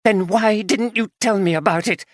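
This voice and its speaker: A reader voicing Christine, an angry, slightly slurring middle-aged wife, in an audiobook. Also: female voice, mid-range voice, moderate pace, no room sound, voice pushed up, natural voice. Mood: bitter, furious. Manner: bitter, contemptuous, slurring slightly. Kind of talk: audiobook character reading.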